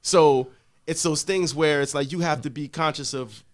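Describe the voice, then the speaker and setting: A man's voice: on the high side, moderate pace. A man with a Jamaican accent, a podcast guest chatting casually, laughing often while telling stories. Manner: laughs often, animated storytelling.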